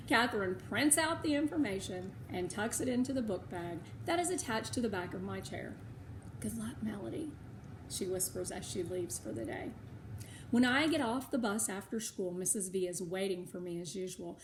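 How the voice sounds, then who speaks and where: A woman with an American accent reading a storybook aloud for schoolchildren in an animated, emphatic way. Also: mid-range voice, moderate pace, a little hiss or hum. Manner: animated, emphatic, with occasional asides. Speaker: read-aloud narrator for schoolchildren. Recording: roomy, echoing indoor sound.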